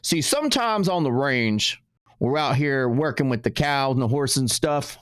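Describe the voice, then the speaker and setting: A man's voice: medium pitch, expressive, moderate pace, fairly bright tone, natural voice. A man putting on a hammy, folksy cowboy outlaw voice with a playful western twang while hosting a live stream.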